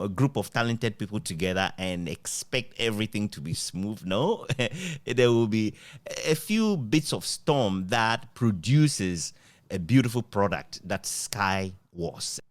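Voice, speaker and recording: A Ghanaian man in a reminiscing conversation, speaking in a reflective, halting way. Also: fairly low pitch, very animated, no room sound.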